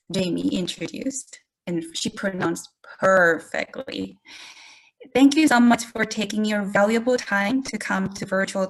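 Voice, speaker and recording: A woman with a Korean accent gives a prepared online presentation. Her voice sits at a middle pitch for a woman. She speaks deliberately, like an educator, and addresses her listeners directly. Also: low-quality recording. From South Korea. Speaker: presenter, English language educator. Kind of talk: webinar presentation, prepared talk.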